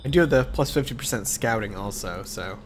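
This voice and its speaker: A man's voice: fairly low pitch, brisk, a little hiss or hum. A man with a cold and a congested voice, hosting casual let's play commentary and thinking aloud as he plays. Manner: relaxed, thinking aloud with fillers.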